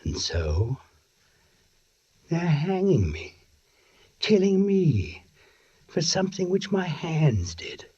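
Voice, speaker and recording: Through a dull old radio broadcast comes a condemned strangler, brooding and self-pitying. He speaks slowly and theatrically, swinging widely in pitch with menace. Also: very animated, mellow, husky, exaggerated voice. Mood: bitter, resigned. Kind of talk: 1940s radio thriller acting.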